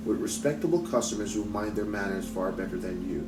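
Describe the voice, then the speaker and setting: A man's voice: lowish voice, expressive, fairly quick, close to an everyday voice. A proud, determined mother from a storybook, read by a man, courteous on the surface and pointed underneath. The recording is roomy and a bit distant, with music behind. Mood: firm. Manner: polite, pointed. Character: determined, polite, proud mother pushing a match.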